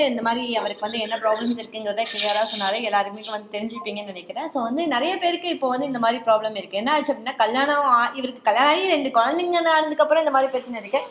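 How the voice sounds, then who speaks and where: A woman with a Tamil Nadu accent hosting a show, addressing viewers promotionally and mixing in English words. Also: a little high. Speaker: show host. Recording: echoey room.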